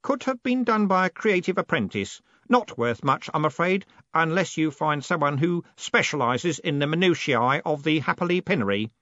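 A man's voice: fairly high pitch, expressive, normal speed, few pauses, sharp tone, dry recording, muffled recording, pitched above the performer's own voice. A man voicing an earnest, obsessive boy apprentice in an audiobook, polite and thoughtful. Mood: absorbed.

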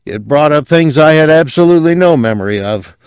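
Audiobook role, male reader: hostile, sardonic drugged man, grating drawl, raised pitch, dry sound. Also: expressive, a little fast, a little mellow, clean tone, no echo. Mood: bitter, wry. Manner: drawling, bitter. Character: hostile, sardonic man under a truth drug.

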